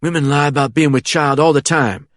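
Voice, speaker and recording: A man voicing a threatening young man who speaks in slow, drawling threats. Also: fairly high pitch, steady tone, no room sound, clean studio sound, pitched above the performer's own voice, lightly acted. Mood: cold. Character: menacing young Southern man, cold and threatening.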